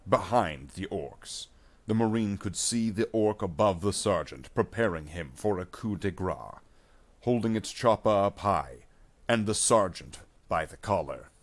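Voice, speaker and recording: A man reading a scripted action story aloud in a measured, dramatic way as its narrator. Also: sits low, animated.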